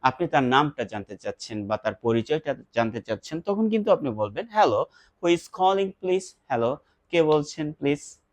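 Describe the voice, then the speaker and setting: A man's voice: medium pitch, no room sound. Bangladeshi man teaching English, dry close sound. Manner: instructive, repeats example phrases slowly and emphatically. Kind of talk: spoken English lesson with example phrases and translations.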